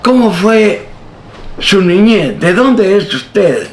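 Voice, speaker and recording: A man interviewing a pastor, asking slow, gently probing questions about his life. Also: high voice, very animated, slight background noise.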